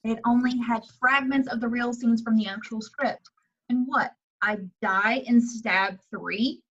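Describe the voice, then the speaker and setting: A woman's voice: on the high side, fairly even intonation, unhurried, muffled recording. A woman playing a bossy, sarcastic horror-film heroine in an amateur table reading, blunt and emphatic. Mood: sarcastic, impatient.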